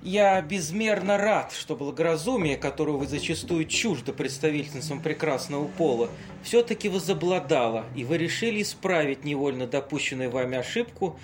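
An actor playing the courtly ghost of Casanova in an audio play, speaking in florid, pompous, old-fashioned polite phrases. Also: male voice, a little high, slight background noise, natural voice. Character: courtly, wordy ghost of Casanova inhabiting a tramp. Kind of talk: amateur audio play acting.

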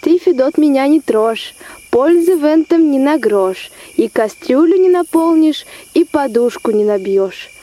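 A young woman voicing a gentle talking forest dove who pleads and coaxes in rhyme in a fairy-tale radio play. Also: high voice, expressive, unhurried. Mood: pleading. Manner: pleading, coaxing.

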